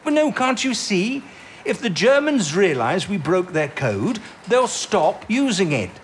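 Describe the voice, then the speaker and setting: Excitable, swooping codebreaking chief. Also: male voice, sits high, slight background noise. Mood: exasperated, insistent. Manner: emphatic, exclamatory, halting when agitated. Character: eccentric, excitable British male wartime chief codebreaker. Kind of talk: period audio drama acting.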